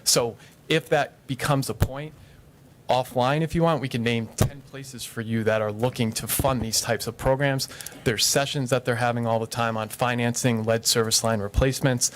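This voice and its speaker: A man's voice: medium pitch, fairly even intonation, normal speed. A man answering questions at a city council meeting, firm and matter-of-fact, explaining at length. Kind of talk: public meeting testimony.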